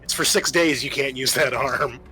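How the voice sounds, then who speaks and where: A man's voice: mid-range voice, normal speed, low-quality recording. A man acting as game master, narrating a horror tabletop roleplaying game in an improvised way, mixing storytelling with rules talk and dry asides. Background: background music.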